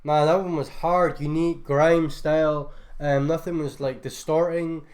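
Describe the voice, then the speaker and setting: A man's voice: fairly high pitch, steady tone, measured pace, a little mellow. A man, a music producer, reviewing beats in a casual livestream, laid-back and enthusiastic, loose with fillers. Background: faint beat music.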